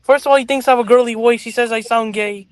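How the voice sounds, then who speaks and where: Man, very high voice, dry close sound, earnest guest, talkative. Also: fairly even intonation, quick pace, smooth, dry recording. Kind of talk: casual talk show commentary.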